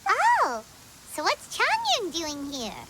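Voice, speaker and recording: A woman voicing a tiny, excitable, childlike fairy companion girl in a video game, curious and exclamatory. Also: extremely high pitch, slow, background noise, full character voice.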